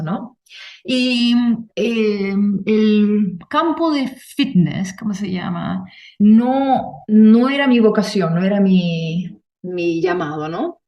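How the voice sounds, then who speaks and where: A woman's voice: mid-range voice, darkish tone. Woman, slightly distant from the mic. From Chile. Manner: meandering, ends phrases on checking tags. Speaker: podcast guest, movement instructor. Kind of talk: conversational personal storytelling.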